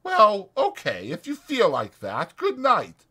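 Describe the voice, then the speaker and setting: A man's voice: high-pitched, wildly swooping pitch, takes it slowly, sharp tone, husky, pitched above the performer's own voice, full character voice. A man voicing an anxious, kindly old ranch hand with folksy rural speech in a dramatized audiobook. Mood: eager, folksy. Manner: folksy rural American dialect.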